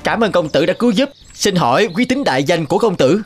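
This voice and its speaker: A man's voice: high voice, sing-song, fast talker. A man voicing a courteous young prince on a quest in a cartoon, with an earnest, polite manner. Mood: courteous, concerned. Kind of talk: cartoon voice acting. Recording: faint music behind.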